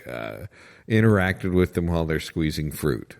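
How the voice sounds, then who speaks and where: A man's voice: low-pitched, sing-song, normal speed, vocal fry, exaggerated voice. A man playing a bemused small-town radio host in improvised comedy, probing and hesitant, often repeating himself. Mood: skeptical.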